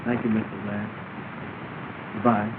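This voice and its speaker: Actor as earnest low-voiced suitor, tinny film. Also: male voice, level tone, a little slow, close to an everyday voice. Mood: earnest, resigned. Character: earnest, polite, dejected young suitor. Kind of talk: 1930s film acting. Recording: tinny, noisy 1930 film soundtrack.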